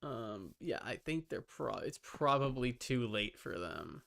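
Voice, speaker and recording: A man livestreaming a game in casual chat, rambling and halting as he mutters to himself and answers viewers. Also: medium pitch, lively intonation, gravelly, a bit bright.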